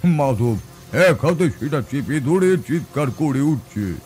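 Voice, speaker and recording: A man's voice: a little high, expressive, darkish tone. A man playing a grave village tantrik priest who recounts eerie encounters as a suspenseful, dramatic horror story. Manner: slow, suspenseful, deliberate. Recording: background music under the voice.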